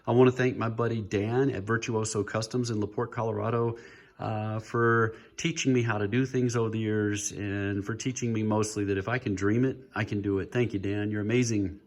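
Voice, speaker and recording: A man's voice: lowish voice, steady tone, brisk. A man casually presenting a hobby build in an informal, chatty, lightly joking way, recorded on a handheld phone. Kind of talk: casual show-and-tell walkthrough.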